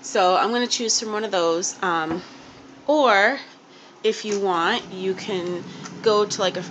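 A woman's voice: medium pitch, unhurried, fairly bright tone, low-quality recording. A young woman filming a casual cooking demonstration in her small kitchen, chatty and unpolished with plenty of ums and restarts. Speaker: home cook vlogger. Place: small home kitchen, indoors. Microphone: handheld camera or phone held close. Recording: home video with background noise.

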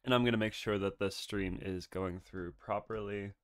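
Low-voiced sniffly male streamer. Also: steady tone. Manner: halting, full of ums, nervous laughs. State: has a cold, sniffly. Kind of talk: casual solo game stream chat. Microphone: desk microphone.

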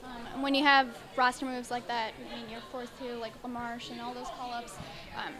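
Higher-voiced woman reporter amid crowd noise. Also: level tone, fairly quick.

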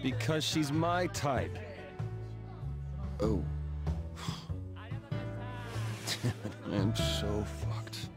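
A man's voice: mid-range voice, animated, very slow, over loud music. An actor with an American accent playing an anxious, whiny young man who gets flustered and exasperated in a game cutscene. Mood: glum, exasperated. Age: young adult. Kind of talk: video game cutscene acting.

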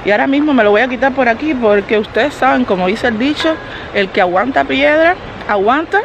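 A woman's voice: a little high, brisk, dull audio. A woman vlogging as she walks outdoors, holding the camera herself and chatting straight to her viewers. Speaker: family vlogger. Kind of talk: casual walking vlog. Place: outdoors by a road, walking. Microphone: handheld camera. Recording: noisy outdoor street audio. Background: traffic and street noise.

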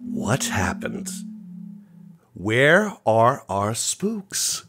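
Man acting a wildly animated, blustering boss. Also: on the low side, very slow, faint music behind, heavily acted. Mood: bewildered. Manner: exasperated, barking. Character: blustering, short-tempered male government boss. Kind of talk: audio drama acting. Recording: clearly noisy, clicks.

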